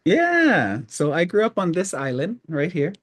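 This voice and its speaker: A man's voice: high-pitched, unhurried, dry recording. Rambling man from Hawaii, dry sound. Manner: relaxed, rambling, many fillers. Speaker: podcast guest. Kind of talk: casual storytelling interview.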